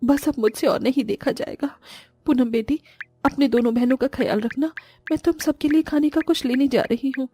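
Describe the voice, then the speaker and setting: A woman playing a poor, desperate, loving mother in a melodramatic animated story, pleading and plaintive. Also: high-pitched, moderate pace, slightly rough. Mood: distressed, resolute.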